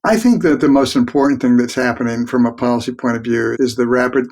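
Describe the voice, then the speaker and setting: A mature man giving reflective, explanatory answers as an expert guest in an interview, speaking in a measured, plain-spoken way. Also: medium pitch, a little mellow. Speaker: guest expert, physician researcher.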